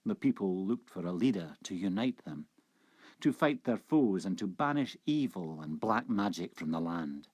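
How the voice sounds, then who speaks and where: A man narrates a fantasy introduction with solemn, measured drama. His voice is on the low side and quite husky. The sound is dry, with no room around it. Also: expressive, a little mellow, no room sound.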